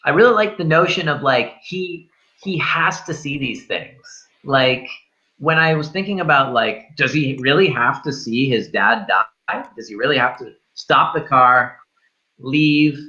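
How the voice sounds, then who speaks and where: A man with a bright, keen-edged voice at an ordinary pitch talks through a film casually. He circles back on his points and swears freely. The sound is a little dull and lo-fi. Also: expressive, a little slow. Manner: searching, repetitive, sweary. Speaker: film review show host. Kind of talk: casual movie discussion.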